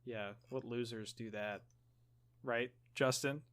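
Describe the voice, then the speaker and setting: A man's voice: medium pitch, fairly even intonation, takes it slowly, a bit bright. A man co-hosting a gaming podcast, chatting casually with a joking, teasing manner. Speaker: podcast co-host.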